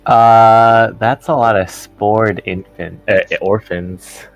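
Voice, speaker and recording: A man's voice: fairly low pitch, steady tone, takes it slowly, over music, low-quality recording. A man talking casually as a player in a tabletop roleplaying game. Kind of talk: casual gaming chat.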